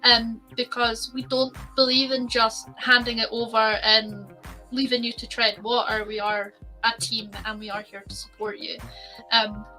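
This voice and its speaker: Bright-voiced Scottish woman. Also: fairly high pitch, level tone, measured pace, soft background music, poor audio. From Scotland. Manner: chatty, laughs easily. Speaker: co-host, designer. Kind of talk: casual business podcast chat.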